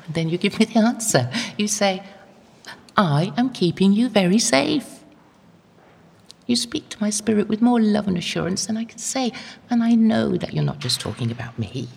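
An older woman playing an elderly, devout medieval anchoress in an audio drama, gentle and humble, praying in a hushed, earnest, reflective way. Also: medium pitch, expressive, a little slow, creaky, pauses often, slightly rough, full character voice. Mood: anxious, then assured. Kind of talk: audio drama acting, intimate prayer.

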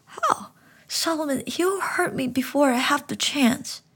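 A woman's voice: high voice, unhurried, a bit bright, voice pushed up. A woman voicing a frightened little boy who pleads through tears. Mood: scared pleading. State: tearful.

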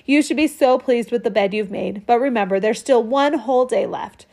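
A woman voicing a gentle, caring mother who speaks softly and reassuringly. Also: a little high, normal speed. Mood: encouraging. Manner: soft, reassuring.

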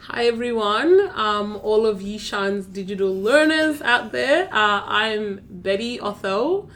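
A woman's voice: medium pitch, takes it slowly, fairly bright tone. A woman from South Sudan, a guest on a podcast, talking about her work in an earnest, proud, thoughtful way. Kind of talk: conversational interview.

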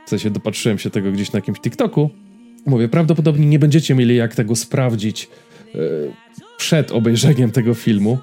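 A man's voice: mid-range voice, fairly quick, dark tone, faint music behind. A Polish man co-hosting a podcast, talking casually and explaining things informally to his co-host and listeners. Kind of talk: casual pop-culture podcast chat.

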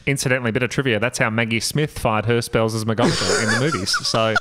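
A man's voice: medium pitch, fast, fairly bright tone. A man as a podcast guest, reading a story aloud and breaking off for sardonic comic commentary. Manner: sardonic, interjecting jokes.

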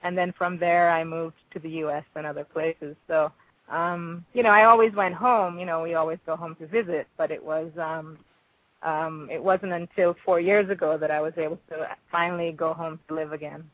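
A woman's voice: sits low, steady tone, normal speed, no echo, narrow, tinny audio. A woman with a Jamaican accent giving thoughtful, conversational answers as an interview guest.

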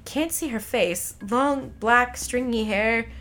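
A woman's voice: on the high side, slow, a bit bright, soft background music, voice pushed up. A woman voicing a nervous, paranoid young male college student in a dramatic story reading, anxious and pleading. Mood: uneasy, suspenseful.

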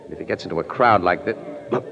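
An actor playing a wry, stubborn, street-smart crime photographer with slangy, gruff talk in a 1940s radio drama. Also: male voice, sits low, quick pace. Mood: alert. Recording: dull old radio recording, crowd behind.

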